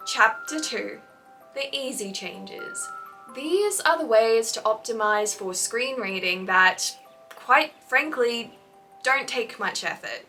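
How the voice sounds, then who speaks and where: A woman's voice: a little high, pushed and bright, far from the mic. A woman hosting a scripted instructional video on writing, with articulate, emphatic phrasing. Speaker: host of fan fiction writing advice videos. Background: background music.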